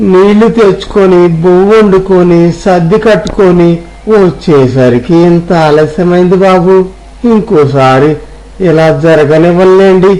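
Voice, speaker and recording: Man acting a high-pitched, humble old village gardener. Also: darkish tone, rough recording. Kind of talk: acted Telugu moral story. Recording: music under the voice.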